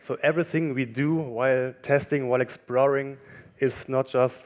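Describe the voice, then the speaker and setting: A man giving a conference talk in a halting way, with pauses and restarts. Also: mid-range voice, steady tone. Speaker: conference speaker. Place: conference room with audience.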